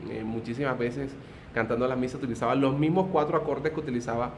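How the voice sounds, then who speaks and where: A man's voice: mid-range voice, fairly even intonation, quick pace, a little hiss or hum. Man with a Colombian coastal accent. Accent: Colombian Caribbean coast. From Barranquilla, Colombia. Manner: hesitant, many "eh" fillers, good-humored. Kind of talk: testimony in interview.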